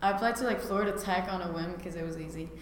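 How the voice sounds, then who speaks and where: A woman's voice: lowish voice, very fast. A young woman from Venezuela, a guest in an interview, chatty, often laughing and saying "like". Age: young adult. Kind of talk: casual interview. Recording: echoey hall with faint noise.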